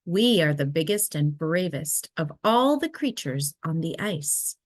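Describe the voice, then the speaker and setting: A woman voicing a gentle, reassuring mother polar bear from a storybook, soothing and unhurried. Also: low voice, animated, no echo, studio quality. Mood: calm, reassuring.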